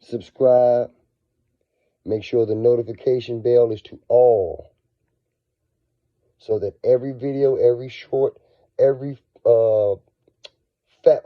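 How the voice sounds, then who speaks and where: A man with a cold, his voice scratchy and raspy, talking casually as a finance vlogger. He swings between low murmurs and excited bursts. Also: slow, pauses often, no echo. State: hoarse from a cold. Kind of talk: casual video monologue. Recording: dull, lo-fi sound.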